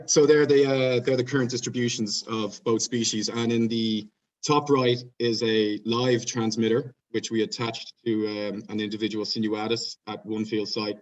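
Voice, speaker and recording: A man's voice: lowish voice, dull audio. A man with an Irish accent, a PhD researcher giving an informal scientific presentation over a video call. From Ireland. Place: remote online video conference. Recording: distant-sounding video call microphone.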